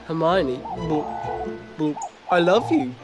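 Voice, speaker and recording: A man's voice: a little high, slow pace, music in the background. An actor playing a cheeky, grumbling teenage boy wizard with a British accent in a video game. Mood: cheeky, upbeat. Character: teenage boy wizard, grumbling, joking, plain-spoken best friend. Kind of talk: video game cutscene acting.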